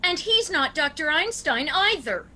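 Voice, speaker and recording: A woman's voice: sits high, big pitch swings, measured pace, bright, forceful tone, soft background music, exaggerated voice. A woman playing a sweet but easily indignant elderly aunt in a radio comedy. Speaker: radio play actor. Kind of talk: theatrical radio comedy acting.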